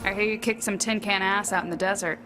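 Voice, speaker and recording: A woman's voice: medium pitch, steady tone, fast talker, loud music behind the voice, close to an everyday voice, rough recording. A woman playing a brash, offhand female fighter with an awkward, grudging manner in a video game. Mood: grudging thanks.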